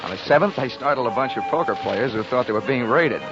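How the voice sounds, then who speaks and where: A male actor as a hard-boiled insurance investigator from an old radio detective show. His delivery is clipped and wisecracking, swinging freely up and down in pitch. The muffled vintage recording has music running behind it. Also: expressive, fast talker, lightly acted. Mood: wry narrating. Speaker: lead actor and narrator of a radio detective drama. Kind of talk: 1940s radio acting.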